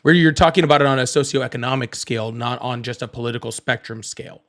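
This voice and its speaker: A man's voice: mid-range voice, quick pace, a bit bright. A man in his late thirties hosting a live political stream, firmly correcting his guest and citing data. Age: 30s. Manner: firm, corrective, cites data. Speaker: host.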